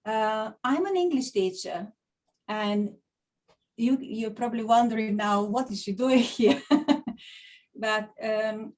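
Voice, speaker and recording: A woman's voice: on the high side, slow, pauses often, a little mellow. Bulgarian-accented woman, chatty. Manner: chatty, with frequent fillers and restarts. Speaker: guest speaker. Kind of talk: online talk. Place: home video call. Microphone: computer mic on a video call.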